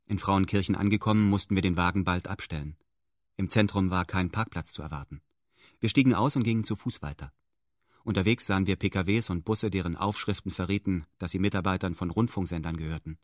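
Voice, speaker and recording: Actor as a low-voiced, detached male narrator. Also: fairly quick, no echo, clean studio sound, natural voice. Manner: formal, detached, meticulous. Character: dry, meticulous male first-person narrator. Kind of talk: scripted radio play narration in reported speech.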